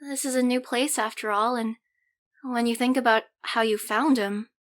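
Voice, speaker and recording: A woman playing an eager, cheeky, soft-hearted young trainee in an audio roleplay, girlish and earnest. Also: fairly high pitch, fairly even intonation, normal speed, close to an everyday voice. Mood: awed, adoring.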